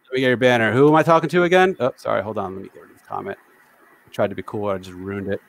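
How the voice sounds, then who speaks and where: A man's voice: on the low side, fairly even intonation, very fast, gravelly. A man from the New York area hosting a casual livestream over a video call, chatty and self-deprecating as he reads viewer comments aloud. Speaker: livestream host. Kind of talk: casual live-stream chat.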